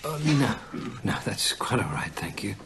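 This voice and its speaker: Anxious, animated English gentleman. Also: fairly low pitch, unhurried, whispered. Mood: polite, flustered. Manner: hesitant, stammering politeness. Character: anxious, polite, scholarly English gentleman, increasingly haunted. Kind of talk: radio drama acting.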